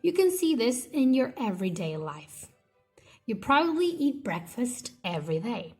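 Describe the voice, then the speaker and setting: A woman reading an academic textbook aloud as scripted narration, with measured, deliberate phrasing in short segments. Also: on the high side, sing-song, a little mellow, faint music behind.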